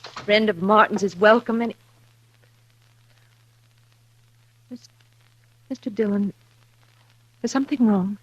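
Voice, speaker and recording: Woman playing a husky, dignified frontier woman. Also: mid-range voice, moderate pace, close to an everyday voice. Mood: welcoming, then anxious. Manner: restrained, polite, dignified. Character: proud, loyal frontier woman, a grieving sister. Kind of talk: 1950s radio western acting. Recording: dull, dry vintage radio recording.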